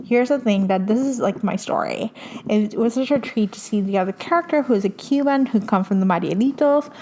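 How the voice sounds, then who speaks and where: A woman's voice: a little high, brisk, a little mellow, poor audio. Chatty woman host. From Puerto Rico. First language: Spanish. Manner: enthusiastic, chatty, many fillers. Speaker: podcast host. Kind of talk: casual book recommendations.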